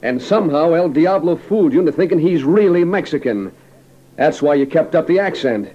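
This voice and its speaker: A muffled old radio recording of a man acting a western outlaw leader. His voice sits fairly high, suspicious and vengeful as he orders his gang about. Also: lively intonation, brisk, warm tone, close to an everyday voice. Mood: menacing, commanding.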